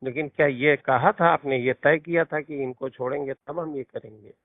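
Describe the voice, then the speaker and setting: A man's voice: a little high, fairly even intonation, normal speed, dry recording. A man in a private recorded phone conversation, talking in a defensive, placating manner. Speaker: caller, politician. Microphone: phone line. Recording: tinny, band-limited recorded phone call.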